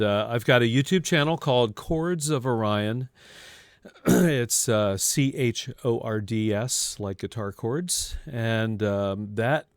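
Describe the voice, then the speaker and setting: Podcast guest, male, early 60s. Crisp voice, close dry sound, chatty self-deprecating conversational delivery. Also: medium pitch, no room sound. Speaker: podcast guest, ambient guitarist. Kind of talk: casual conversational interview.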